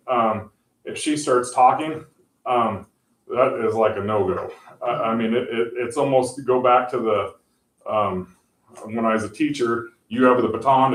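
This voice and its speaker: A man explaining tech options to a city council, halting and full of fillers and restarts. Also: medium pitch. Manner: says he has a loud voice. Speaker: tech presenter at council meeting. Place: council meeting room. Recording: echoey room, far from mic.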